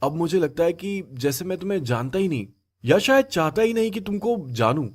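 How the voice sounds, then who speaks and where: A man's voice: on the high side, lively intonation, fairly quick, a little mellow. Man acting hurt, restrained young husband. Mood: bitter, resolute. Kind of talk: acted Hindi audio story dialogue.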